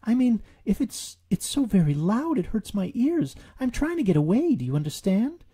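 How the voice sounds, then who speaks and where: A small, frightened storybook piglet, put on by a male narrator, speaks in a raised, breathy voice. The delivery is anxious and hesitant, eager to please. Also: sits high, big pitch swings, normal speed, mellow, pitched above the performer's own voice, exaggerated voice. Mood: nervous, pleading. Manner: nervous, hesitant, stammering.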